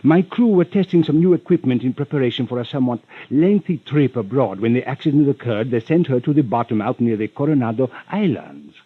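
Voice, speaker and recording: An actor playing a rich, unctuous villain in an old radio drama, florid and over-polite with hesitant stammers and veiled menace. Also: male voice, medium pitch, lively intonation, brisk, hardly any pauses, slightly rough, dry recording. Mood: smooth, persuasive. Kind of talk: 1940s-50s radio drama acting. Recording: muffled old radio recording.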